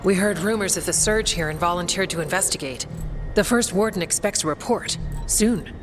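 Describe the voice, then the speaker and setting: An actress playing a practical, level-headed soldier in a video game, speaking in a dry, matter-of-fact way. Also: female voice, on the low side, fairly quick, lightly acted. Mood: serious. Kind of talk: dramatic video game cutscene acting. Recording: faint music behind the voice.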